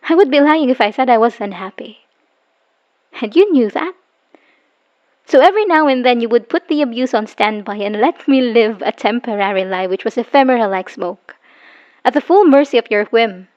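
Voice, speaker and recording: A woman acting a wounded, bitter woman leaving her abusive partner, in an emotional, halting dramatic audio roleplay monologue. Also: a little high, expressive, lightly acted. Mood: bitter, hurt. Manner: emotional, halting, sighing, dropping into whispers. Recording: dull lo-fi voice recording.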